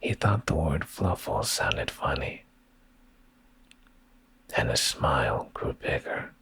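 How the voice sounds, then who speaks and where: Male ASMR narrator for bedtime stories: heavy vocal fry, breathy whisper, deliberate pauses, expressive pitch movement. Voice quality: breathy, gravelly low whisper. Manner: slow, hushed, deliberate delivery with pauses.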